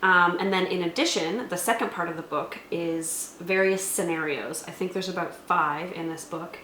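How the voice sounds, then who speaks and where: Woman, lowish pitch, conversational explainer, review co-host, echoey room. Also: normal speed, pushed and bright. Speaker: co-host of a tabletop game review. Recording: roomy echo, faint background noise.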